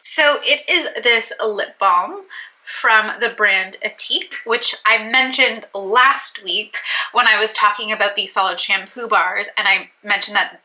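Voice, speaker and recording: Bright, chatty congested young woman. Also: on the high side, rough recording. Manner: chatty, rambling, self-deprecating. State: stuffy and congested. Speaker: beauty vlogger. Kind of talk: casual chat to camera.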